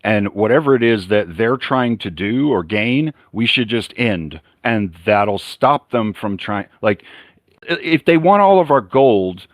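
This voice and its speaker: Low-voiced blunt man. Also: expressive, unhurried, dry recording, clean studio sound. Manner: blunt and profane. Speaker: panelist. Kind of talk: heated panel discussion on a live stream.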